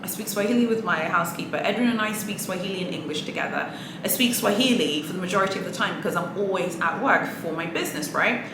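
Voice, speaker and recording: A woman with a fairly low voice talks casually and earnestly to camera, like a motivational pep talk for her viewers. She restarts her phrases and laughs softly now and then. The room is echoey, and a steady background noise sits under her voice. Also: quick pace. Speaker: luxury handbag vlogger.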